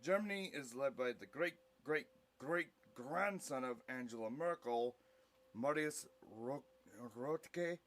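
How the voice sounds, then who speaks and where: A man reading scripted narration for an audio play in a halting, word-by-word way. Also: mid-range voice, a bit bright.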